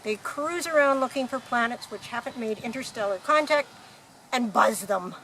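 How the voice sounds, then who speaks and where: Woman actor, knowing galactic travel researcher, radio play, fairly high pitch, casual explaining tone, faint background noise. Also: moderate pace, a bit bright, rough recording. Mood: breezy, explaining. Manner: matter-of-fact, casually explanatory. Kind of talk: amateur radio play acting.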